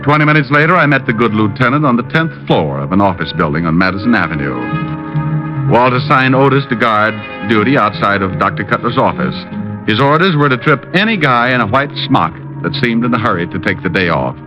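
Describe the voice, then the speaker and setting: A man playing a wisecracking, quick-thinking private detective with an American accent and a wry, glib delivery, in old radio drama style. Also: medium pitch, sing-song, close to an everyday voice. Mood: dry narration. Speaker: lead actor and narrator of a detective series. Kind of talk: 1940s-50s radio detective acting and narration. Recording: muffled old radio recording, faint music.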